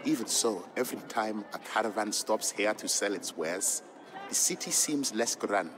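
An actor playing an Ethiopian trader and father, narrating old legends in a measured, fond storybook style for a game. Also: on the low side, expressive, close to an everyday voice. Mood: reflective, storytelling. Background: background music.

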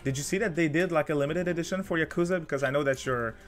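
Soft-spoken male podcast host. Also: fairly high pitch, racing, soft background music. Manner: soft-spoken, hesitant, with frequent fillers. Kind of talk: casual gaming chat.

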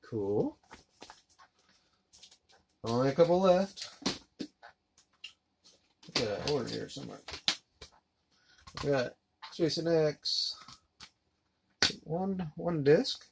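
A man in his thirties giving a relaxed, rambling unboxing commentary while handling discs at his desk. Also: on the high side, slow, gravelly, long pauses. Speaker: collector unboxing a disc set. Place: collectibles room indoors. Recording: noisy home recording.

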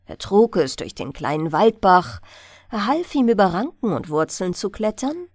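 A woman narrating a bedtime fairy-tale audiobook in an expressive storytelling style, close to the mic. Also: mid-range voice, normal speed, no echo.

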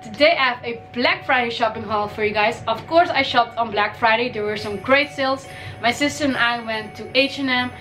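Young woman vlogger with a cold, gushing and upbeat, bright high-ish voice, filmed by a desk camera in a bedroom. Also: fairly quick, faint music behind, poor audio. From Caribbean. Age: young adult. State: has a cold, voice may crack. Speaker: fashion vlogger. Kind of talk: casual shopping haul vlog. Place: bedroom, indoors.

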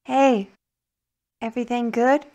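A woman's voice: on the high side, steady tone, slow, dark tone. A woman playing a bodyguard's helpful ally in an audio roleplay. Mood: alert, questioning.

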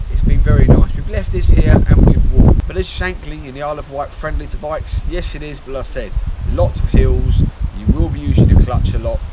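A man in his forties giving a chatty, down-to-earth travel vlog, filmed on an action camera held at arm's length outdoors. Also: a little high. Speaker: motorcycle vlogger. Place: outdoors, hotel grounds and ferry deck. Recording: outdoor camera with clear background noise.

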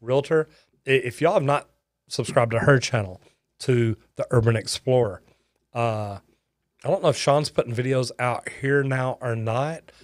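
Older man, folksy livestream host, close boom mic, dead dry studio. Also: a little slow, no echo. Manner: folksy, thanks viewers by name. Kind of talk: casual livestream sign-off. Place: studio with shelves.

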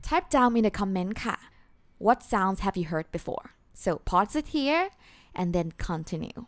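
A woman's voice: mid-range voice, big pitch swings, no echo, clean studio sound. Chatty Thai woman teaching a lesson. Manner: chatty, lots of "you know" and "like" fillers; slows down to spell out terms, switches into Thai for examples. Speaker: Thai language teacher, podcast host. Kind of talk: casual podcast lesson.

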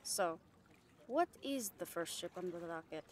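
A woman's voice: on the low side, lively intonation, measured pace, a little hiss or hum. A woman playing a brash, cheeky catgirl pirate in an audio roleplay, bantering with salty sarcasm. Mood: wry, sarcastic. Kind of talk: roleplay audio drama acting.

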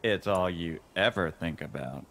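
An actor playing a smug, flirtatious Hollywood action-star fighter in a video game, teasing and theatrical. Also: male voice, deep voice, a little slow, a bit bright, a little hiss or hum. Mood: smug, flirting. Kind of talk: video game character dialogue.

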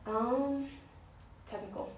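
A woman's voice: fairly high pitch, slow, a little mellow. Chatty young woman, roomy distant sound. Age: young adult. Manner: chatty, filler-heavy, searching for words. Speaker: guest, pro soccer player. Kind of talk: casual interview conversation. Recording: roomy, distant mic, faint ambience.

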